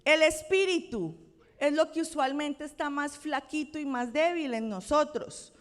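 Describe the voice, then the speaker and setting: A woman's voice: sits high, a little slow. A woman pastor from Colombia with a Colombian accent, preaching a conversational sermon and checking in with her congregation. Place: church sanctuary. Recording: long church-hall reverb.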